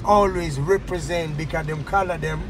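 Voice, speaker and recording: A man from South Africa, a tour guide and reggae artist, chatting in a moving car with rhythmic Rasta patois and chanted shout-outs. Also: fairly high pitch, fairly even intonation, normal speed, music in the background. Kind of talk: casual chat mixed with reggae toasting. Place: inside a moving car in a city. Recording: car road noise behind. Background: traffic and engine noise.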